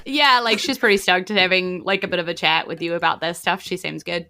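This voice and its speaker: A woman's voice: on the low side, steady tone, a little fast, bright, forceful tone. A woman with a New Zealand accent narrating a tabletop game as game master, chatty and informal, putting questions to the players.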